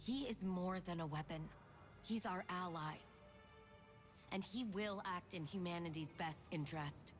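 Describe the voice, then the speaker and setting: Woman playing a resolute, low-voiced loyal officer. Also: unhurried, faint music behind, natural voice. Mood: determined. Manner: firm, earnest. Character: resolute, loyal female military scientist, earnest and firm. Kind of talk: scripted game cutscene acting.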